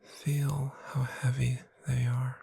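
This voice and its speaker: A woman playing a gentle witch bookseller in a breathy, soothing, whispered ASMR sleep roleplay. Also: rumbling low, flat delivery, dry recording, full character voice, poor audio. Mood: calm, soothing. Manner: slow, soothing, deliberate. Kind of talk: soft-spoken ASMR sleep roleplay, guided relaxation.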